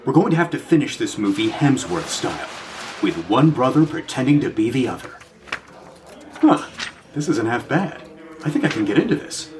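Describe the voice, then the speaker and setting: An actor playing an easygoing, self-pleased stand-in performer in a comedic audio drama, wry and casual. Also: male voice, mid-range voice, big pitch swings, normal speed, a little mellow, slight background noise, close to an everyday voice.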